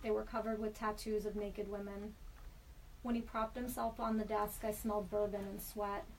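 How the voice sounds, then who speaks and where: A woman in a studio reading a personal story aloud in a measured, deliberate way. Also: mid-range voice, level tone. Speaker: storyteller guest. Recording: slightly distant mic with faint background hiss.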